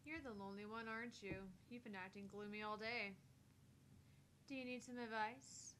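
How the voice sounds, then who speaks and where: A woman's voice: a little high, fairly even intonation, normal speed, fairly bright tone, a little hiss or hum, lightly acted. A woman playing a prickly, teasing young woman from a visual novel, defensive and needling.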